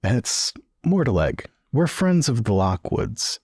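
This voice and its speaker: A courteous gentleman adventurer in a tabletop game, played by a man, bluffing with some hesitation. The voice is fairly low, and the sound is close and dry. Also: big pitch swings, slow pace, gravelly, slightly rough, no echo, full character voice. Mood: polite, bluffing. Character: polite, bluffing gentleman visitor in a tweed jacket.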